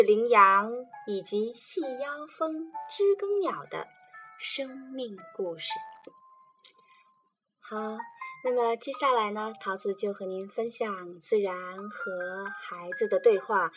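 A woman presenting and reading children's poetry in a calm, gentle storytelling manner. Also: fairly high pitch. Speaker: reader and presenter.

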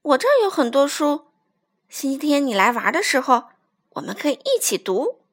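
A woman's voice: sits high, very animated, normal speed. A woman voicing a kind, absent-minded young schoolboy in a children's story, friendly and a little hesitant.

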